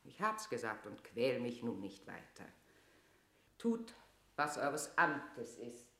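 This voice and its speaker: Low-voiced imperious tormented queen. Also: very animated, slow pace, a bit bright, heavily acted. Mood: impatient, evasive. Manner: declamatory, grand theatrical delivery. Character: imperious, embattled Queen of England, proud and tormented. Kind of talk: classic German radio play acting of verse drama. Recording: roomy, reverberant radio drama studio.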